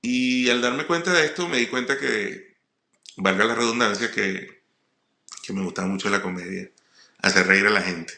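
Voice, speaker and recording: A middle-aged man with a Venezuelan accent tells personal stories informally, often halting and repeating words. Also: on the low side, vocal fry, sharp tone. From Puerto La Cruz, eastern Venezuela. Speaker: comedian, guest speaker. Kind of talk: personal storytelling monologue. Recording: roomy echo.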